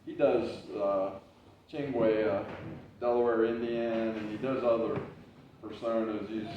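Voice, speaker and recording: A man giving a casual library talk as a historical reenactor, with a loud voice and a loose, rambling way of telling, full of "uh"s and restarts. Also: on the low side, slight background noise. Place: library meeting room. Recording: very echoey, distant, dull room recording.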